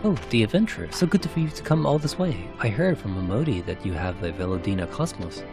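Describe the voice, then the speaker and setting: Man voicing a gentle, composed woman. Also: lowish voice, big pitch swings, fast talker, over music. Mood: composed, wistful. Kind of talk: narrated game cutscene character.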